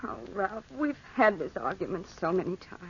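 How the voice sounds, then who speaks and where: A woman's voice: mid-range voice, sing-song, normal speed, slight background noise, natural voice. An actress playing an outspoken, impatient young woman in a 1940s radio drama, with an emphatic, reproachful delivery. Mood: challenging, pleading. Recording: dull vintage radio drama recording.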